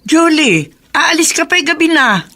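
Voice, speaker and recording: A woman's voice: high-pitched, sing-song, measured pace, bright, forceful tone, faint music behind, full character voice. Worried, questioning mother. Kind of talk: radio drama dialogue.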